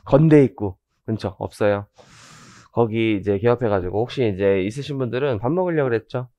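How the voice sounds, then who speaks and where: A hoarse man in his thirties giving a chatty orientation lecture on tax law, with joking asides and many fillers. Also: mid-range voice, brisk, mellow, no echo, highs cut off. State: hoarse from rhinitis.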